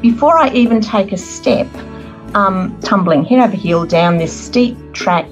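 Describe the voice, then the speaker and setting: A middle-aged Australian woman, a guest author telling her life story in an earnest, measured interview manner. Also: mid-range voice, animated, muffled recording, soft background music.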